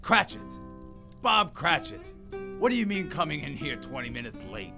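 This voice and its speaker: A man playing a reformed old miser in an audio drama, giddy, warm and exclamatory, often repeating himself. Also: fairly high pitch, big pitch swings, moderate pace, creaky. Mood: mock stern. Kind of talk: theatrical audio drama acting. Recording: music playing behind the voice.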